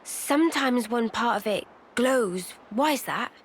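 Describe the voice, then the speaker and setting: A woman's voice: a little high, lively intonation, unhurried, a little hiss or hum. A woman voicing a curious, thoughtful young female fighter in a video game cutscene, with a musing manner. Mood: curious, gentle.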